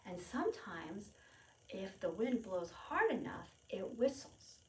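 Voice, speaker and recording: A woman's voice: mid-range voice, big pitch swings, slow, low-quality recording. A woman leading a storytime lesson for small children, explaining in simple repeated phrases and prompting them to join in. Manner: refers to self in third person. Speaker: preschool storytime presenter at a public library. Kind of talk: children's lesson, demonstrating to young viewers at home.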